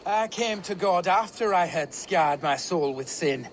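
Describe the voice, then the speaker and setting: A man playing a hardy, unapologetic monk with a rough past in a video game. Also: high-pitched, expressive, measured pace, a little hiss or hum. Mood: defiant. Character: scarred tonsured monk, male, hardy, unapologetic drinker.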